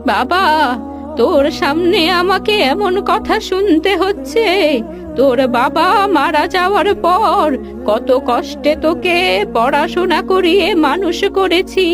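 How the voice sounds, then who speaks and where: A frail elderly grandmother from a children's cartoon, acted by a woman. Her voice sits very high and moves slowly, with a gentle, pleading sorrow. Faint music plays underneath. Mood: hurt, pleading. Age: older. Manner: slow, drawn-out, pleading delivery.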